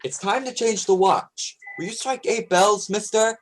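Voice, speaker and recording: A man acting in a radio play as an earnest, determined young sea captain, speaking a little haltingly. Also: sits high, a little hiss or hum. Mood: commanding.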